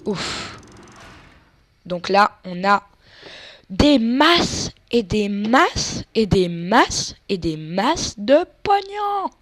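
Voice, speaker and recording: A woman's voice: mid-range voice, sing-song, very slow, fairly bright tone, no room sound. A woman with a cold giving casual, crude, joking gameplay commentary and explaining tactics to viewers as a let's play gamer. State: has a cold.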